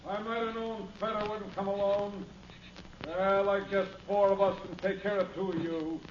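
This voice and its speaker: A man plays a menacing American land baron in an old radio Western. His high voice taunts and gives orders with a sneer. The sound is muffled and clearly noisy. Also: level tone, moderate pace, close to an everyday voice. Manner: taunting, commanding. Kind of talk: 1950s radio drama acting.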